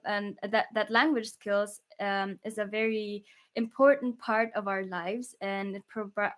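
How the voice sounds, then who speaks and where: A woman with a Filipino accent hosting a radio interview, polite and encouraging. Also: medium pitch. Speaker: radio host.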